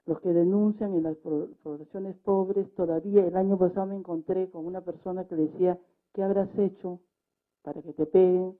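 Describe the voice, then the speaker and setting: A woman giving her opinion as a debate panelist, unscripted and deliberate, phrase by phrase. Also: fairly low pitch, fairly even intonation. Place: public debate event. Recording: muffled, lo-fi event recording.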